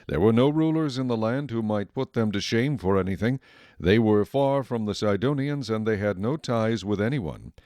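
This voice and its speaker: A man reading scripted Bible narration in a measured, solemn storytelling manner. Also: fairly low pitch, expressive, a little mellow, no room sound, clean studio sound. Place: dry studio.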